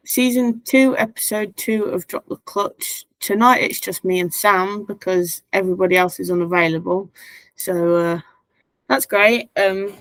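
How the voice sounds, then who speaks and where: A woman with a hoarse, tired-sounding voice hosts a casual podcast chat, asking friendly questions. Her voice is recorded close and dry, with no room around it. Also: medium pitch, no echo, rough recording. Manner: chatty, asks questions. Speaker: podcast host.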